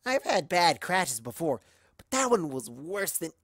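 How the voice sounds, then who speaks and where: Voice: medium pitch, sing-song, measured pace, fairly bright tone, no echo, studio quality. A storyteller voicing a brash, boastful young female cartoon pony, cocky, casual and emphatic.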